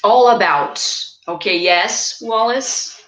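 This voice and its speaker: A woman presenting a pronunciation webinar, speaking in a measured, encouraging way and reading out participants' comments. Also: medium pitch, fairly bright tone, slight background noise. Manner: measured, encouraging, thinks aloud with fillers. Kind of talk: live webinar teaching, reading chat comments. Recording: roomy echo.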